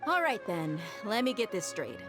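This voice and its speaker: Young warrior woman, mid pitch, confident, blunt, casual, game dialogue, background music. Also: wildly swooping pitch, moderate pace. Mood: puzzled. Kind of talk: video game voice acting.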